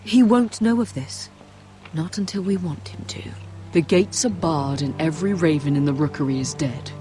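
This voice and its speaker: A woman playing a shrewd, middle-aged noble mother in a dramatic fantasy game, speaking in a measured, authoritative way. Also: low voice, mellow, soft background music, close to an everyday voice. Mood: grave, guarded. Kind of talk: video game dramatic acting.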